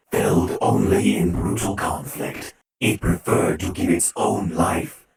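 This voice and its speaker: A cold, hungry alien swarm overlord, very deep and gravelly, a slow, clipped, breathy rasp that booms from far off in a cavernous echo. Each feeling is named flatly before each pronouncement. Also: male voice. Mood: gloating. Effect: heavy echo effect. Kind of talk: audiobook character voice.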